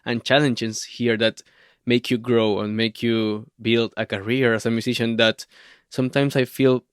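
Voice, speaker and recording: A Venezuelan-accented man with a smooth, resonant voice hosts in a warm, affirming way. His voice sits close and dry, with no room echo. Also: medium pitch, level tone. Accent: Venezuelan Spanish. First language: Spanish. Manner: warm, affirming, measured questions. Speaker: podcast host. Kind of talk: interview podcast.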